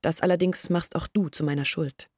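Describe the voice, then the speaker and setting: A woman's voice: sits low, fairly even intonation, normal speed, darkish tone, husky, no room sound, clean studio sound. An actress playing Olga, an earnest young village woman, telling her story in a radio play. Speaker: lead character in radio play. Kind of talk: radio drama acting.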